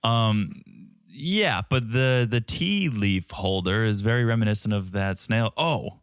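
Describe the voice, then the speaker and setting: A man's voice: on the low side, expressive, unhurried, smooth, no echo, clean studio sound. A man with a North American accent co-hosting a podcast, chatting casually with a playful, teasing manner. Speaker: podcast co-host. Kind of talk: casual banter and TV recap chat.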